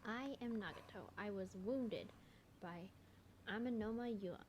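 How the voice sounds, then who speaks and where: A woman's voice: mid-range voice, unhurried, noisy background. A woman game streamer giving informal, reactive let's play commentary. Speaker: streamer playing a video game.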